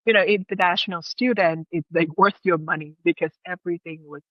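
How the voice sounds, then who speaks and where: A woman's voice: fairly low pitch, fairly even intonation, brisk, no echo, muffled recording. A woman from Thailand with a Thai accent, telling personal stories casually as an interview guest in her second language.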